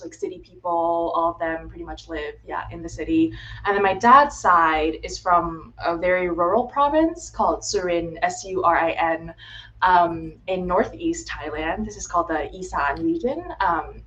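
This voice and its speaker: A woman's voice: lowish voice, a bit bright, lo-fi sound. A woman in her twenties from Florida, chatting casually as a guest, with lots of ums and likes. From United States (Florida). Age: 20s. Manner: chatty, frequent "um" and "like", laughs easily. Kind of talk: casual interview chat. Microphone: video call. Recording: faint background noise.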